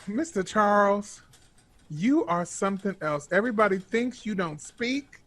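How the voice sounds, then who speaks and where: A man's voice: sits high, lively intonation, a little slow, a bit bright, full character voice. An actor playing a self-doubting young man with a hesitant, flustered manner in an audio drama. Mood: fond, teasing. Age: young adult. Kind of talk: naturalistic audio drama acting.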